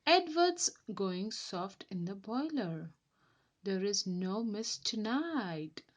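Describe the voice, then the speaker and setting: A woman acts out an anxious male steam engine in a storybook, grumbly and blustering. The delivery is slow, with pitch that swings about in a lively way. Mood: grumbling. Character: nervous, grumbling male steam engine who fears ghosts.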